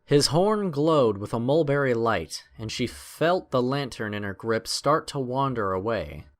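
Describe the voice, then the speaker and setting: A man's voice: medium pitch, expressive, unhurried. A man narrating a scripted adventure story in a dramatic storytelling style.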